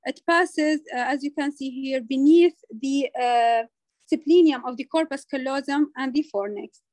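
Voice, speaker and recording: A woman lecturing over slides as a medical teacher, speaking in a halting way with frequent hesitant pauses. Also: high voice, darkish tone, no room sound.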